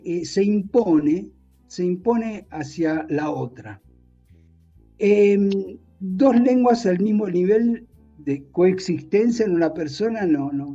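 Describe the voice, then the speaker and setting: A man's voice: sits high, dark tone, breathy edge, dull audio. Man with an Italian-tinged Spanish accent. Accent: Spanish with a strong Italian accent. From Argentina. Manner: thoughtful, searching for words with many restarts. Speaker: guest author. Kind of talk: reflective interview conversation.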